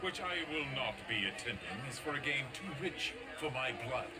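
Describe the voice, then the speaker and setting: An actor playing a genial, devout gambler in a video game, mild, wry and a little defensive. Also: male voice, mid-range voice, big pitch swings, normal speed, lightly acted. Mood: wry, sheepish. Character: genial, devout gambler excusing his vice. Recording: distant, loud party crowd and music.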